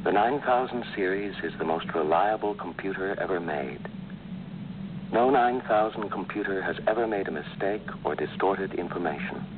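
An actor playing a calm, polite computer that is sure it never errs, speaking softly and evenly, in tinny old film audio with hum. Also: male voice, deep voice, normal speed. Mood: serene.

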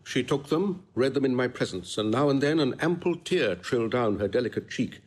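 An actor playing a tender, eloquent courtly gentleman in a classical audio drama, speaking with earnest lyricism. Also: medium pitch, lively intonation, fairly quick, slightly rough. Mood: tender admiration. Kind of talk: classical stage-style audio drama acting.

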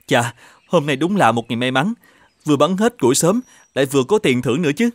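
A man voicing a hardworking, earnest young firewood seller in an animated fairy tale, speaking politely and expressively. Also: medium pitch, quick pace, no room sound, lightly acted. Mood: delighted, surprised. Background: background music.

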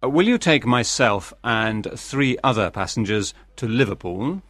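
A man playing a calm, wealthy gentleman in a dramatized audiobook, polite and unruffled. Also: medium pitch, measured pace, dry recording, studio quality. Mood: composed. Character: Phileas Fogg, calm, composed wealthy gentleman.

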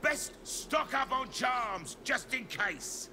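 A man's voice: very high-pitched, lively intonation, takes it slowly, over music, full character voice. An actor playing a male tiefling vendor pushing protective charms with a salesman's patter. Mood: pitching wares.

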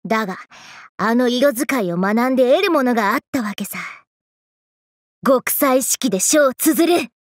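Actress as hyper young anime game girl, high voice, very lively swings, cocky, teasing, slangy. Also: normal speed, dry recording, studio quality, natural voice. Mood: excited, boastful. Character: brash, playful, hyper young woman in summer gear. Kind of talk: Japanese anime game character voice lines.

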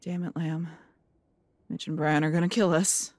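A woman's voice: on the low side, fairly even intonation, normal speed, darkish tone, a little hiss or hum, natural voice. A woman playing an anxious, foul-mouthed, fiercely loyal woman in an audio drama. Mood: urgent, worried. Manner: urgent, sweary. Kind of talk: amateur audio roleplay drama.

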